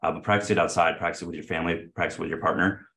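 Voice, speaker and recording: Fast-talking low-voiced man in his thirties. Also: steady tone, vocal fry, fairly bright tone. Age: 30s. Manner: earnest, many fillers and restarts. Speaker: guest, company CEO. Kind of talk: casual interview talk.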